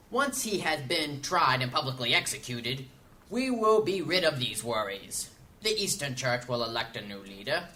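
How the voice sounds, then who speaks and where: A man's voice: medium pitch, pushed and bright. A man playing a bitter, doubting mage councillor in a fantasy audio drama, speaking in an emphatic, theatrical way.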